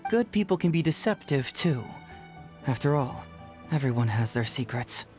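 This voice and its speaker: A man's voice: medium pitch, sing-song, moderate pace, a little mellow, soft background music, natural voice. A man playing a shrewd, playful young detective in an anime video game, breezy and knowing. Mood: knowing, suspicious.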